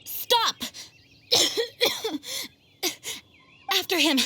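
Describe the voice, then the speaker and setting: A woman's voice: extremely high pitch, expressive, drawn-out words, pushed and bright, heavily acted. A woman playing a dutiful, selfless young knight leader in a video game, speaking formally and earnestly. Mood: resolute.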